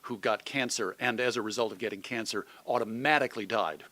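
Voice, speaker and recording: A middle-aged man from suburban Chicago giving reflective, measured answers as an interview guest, carefully qualifying his points. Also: lowish voice, sharp tone. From suburban Chicago, United States. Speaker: podcast guest, magazine editor and radio host.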